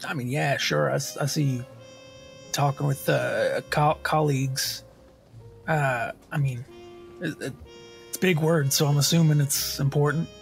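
A simple, good-natured henchman, played by a man in a tabletop game, talks bluntly and haltingly. His voice is creaky and fairly high, with some music behind. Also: steady tone. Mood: unsure. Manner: halting, plain-spoken.

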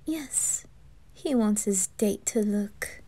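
A woman voicing a gentle, deferential demon maid, soft and breathy, in an ASMR roleplay. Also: mid-range voice, takes it slowly. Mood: gentle, attentive.

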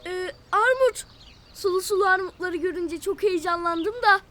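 An actor voicing a timid, excitable little preschool cartoon character with a squeaky, hesitant, exclamatory delivery. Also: normal speed, a little hiss or hum. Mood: excited. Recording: music behind the voice.

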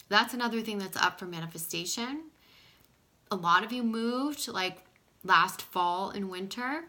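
A woman giving a casual oracle card reading in a small room at home, her voice a little scratchy from pollen, soft-spoken and sometimes dropping to a whisper. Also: mid-range voice. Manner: soft-spoken, drifting into whispers, frequent "okay?". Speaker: spiritual card reader.

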